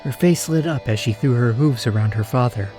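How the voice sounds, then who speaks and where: A man's voice: mid-range voice, brisk, warm tone. A man narrating a scripted story as the narrator of an audio drama. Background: faint music.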